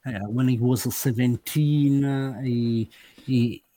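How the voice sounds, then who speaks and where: A man's voice: medium pitch, steady tone, slow pace, mellow. Hesitant Italian-accented man. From Italy. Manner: hesitant, with many "uh" fillers and restarts. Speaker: guest author. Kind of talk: casual interview.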